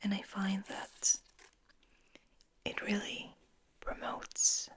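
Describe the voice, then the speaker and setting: A woman whispering breathily in a slow, soothing guided ASMR style, lingering on her words. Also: mid-range voice, completely flat delivery. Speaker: ASMR host.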